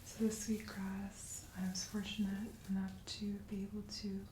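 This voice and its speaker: A young woman whispering softly and unhurriedly as a guest in a relaxed ASMR chat. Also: mid-range voice, a little hiss or hum. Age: young adult. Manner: soft whispering, unhurried and reflective. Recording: echoey room.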